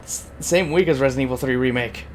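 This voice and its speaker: A man playing a game on stream and chatting in a calm, matter-of-fact way. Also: mid-range voice, fast, a little hiss or hum. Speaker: player on stream. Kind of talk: casual gaming stream chat.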